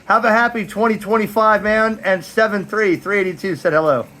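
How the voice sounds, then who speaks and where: Male CB vlogger, slightly high voice, friendly radio lingo, recorded outside with some background noise. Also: steady tone, a little fast. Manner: folksy, friendly radio jargon. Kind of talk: casual vlog narration and radio calls. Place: outdoors in a backyard.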